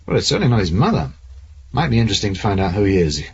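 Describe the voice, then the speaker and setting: A man's voice: on the low side, sing-song, rapid-fire, creaky, darkish tone. A man playing a dry-witted, businesslike senior police investigator in a radio drama. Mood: dry, musing. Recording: muffled radio recording with faint noise.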